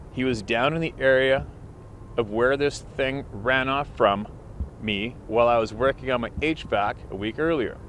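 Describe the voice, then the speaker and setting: A man's voice: medium pitch, slight background noise. A man hosting a channel, reading a listener's letter aloud as a plain story, recorded outdoors. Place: outdoors by the water.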